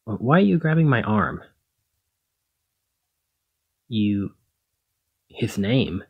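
A man playing a protective, conflicted demigod hero in a one-sided ASMR roleplay, speaking emotively and haltingly to the listener. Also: fairly low pitch, big pitch swings, breathy edge, highs cut off, full character voice. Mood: resigned, hurt. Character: brawny male demigod hero, protective, conflicted, exasperated lover.

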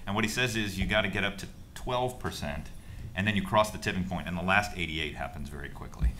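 A man's voice: low voice, quick pace, background noise. A middle-aged man from the United States speaking on a conference panel, fluent and upbeat, laughing at himself now and then. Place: conference room with audience. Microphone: table microphone.

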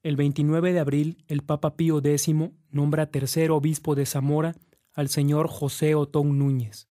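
A man's voice: on the high side, little pitch movement, moderate pace, warm tone. A man narrating a radio drama from a script.

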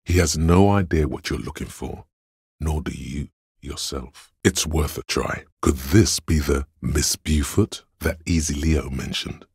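The cool inner voice of logic in a video game. The voice is exceptionally low and very breathy-husky, recorded close and dry. It reasons in a deliberate, measured way, with a sardonic, analytical edge. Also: male voice, a little mellow, no echo, exaggerated voice. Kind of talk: scripted game narration.

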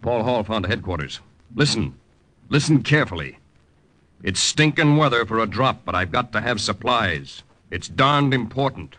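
An actor playing a composed, proper-spoken American army lieutenant held captive, in old-time radio drama style with narration. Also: male voice, fairly low pitch, expressive, normal speed, pushed and bright. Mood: controlled. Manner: precise, proper speech. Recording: old radio recording with music.

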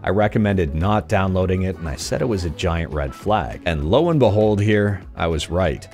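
Deep-voiced man hosting emulation news. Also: expressive, normal speed, darkish tone, faint music behind. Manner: upbeat, jokey sign-offs. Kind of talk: scripted tech news video. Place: small room at home. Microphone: studio mic on boom arm.

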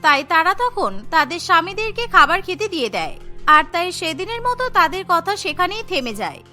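A woman's voice: very high voice, big pitch swings, fairly quick, bright, forceful tone, faint music behind. A woman narrating a scripted cartoon story.

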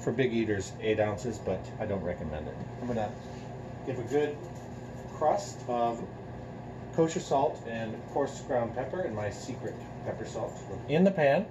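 A man's voice: mid-range voice, lively intonation, measured pace. A middle-aged man, a chef, explaining step by step while he cooks at a gas stove in a home kitchen, recorded by a camera across the room. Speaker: chef and store co-owner. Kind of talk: cooking demonstration. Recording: kitchen recording with clear frying noise. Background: frying and sizzling pan.

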